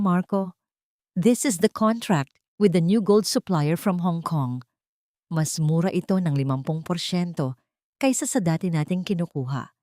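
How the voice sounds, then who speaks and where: A woman's voice: on the low side, warm tone, no room sound, clean studio sound, close to an everyday voice. Narrator as a pushy, scheming businesswoman, insistent.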